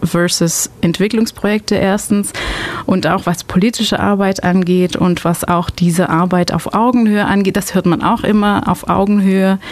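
A woman's voice: mid-range voice, steady tone, moderate pace. Hesitant woman radio host, non-native. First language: not German. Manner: hesitant, many fillers and restarts. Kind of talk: casual community radio chat. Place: radio studio.

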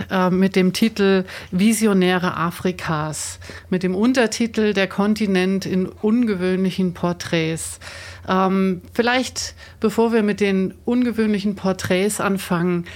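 Radiomoderatorin mit mittlerer Stimmlage, tastend formulierend. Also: female voice, medium pitch. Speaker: radio host interviewing a studio guest. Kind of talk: radio interview, semi-spontaneous moderation. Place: radio studio. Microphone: studio microphone.

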